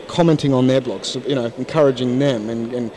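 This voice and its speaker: A man in his forties chats at a conference, holding his own mic. He speaks frankly and thoughtfully, with frequent false starts, at an even middle pitch. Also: brisk. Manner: frank, thoughtful, many restarts. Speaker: interview guest, English teacher. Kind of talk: casual interview. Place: conference hall lounge, indoors. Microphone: handheld mic.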